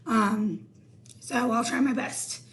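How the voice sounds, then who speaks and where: A hoarse woman teaching a recorded math lesson, explaining each step slowly. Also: on the high side, fairly even intonation.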